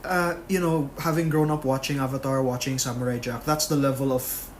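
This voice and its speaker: A man's voice: medium pitch, fairly even intonation, brisk, slight background noise. A man co-hosting a podcast in casual chat, rambling and full of fillers. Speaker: podcast co-host.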